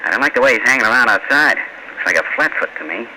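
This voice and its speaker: An actor playing a tough, curt small-time crook in 1940s radio style, slangy and threatening. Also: male voice, mid-range voice, expressive, quick pace, sharp tone. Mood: scheming, wary. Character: tough, menacing small-time male racketeer. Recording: noisy old-time radio broadcast recording.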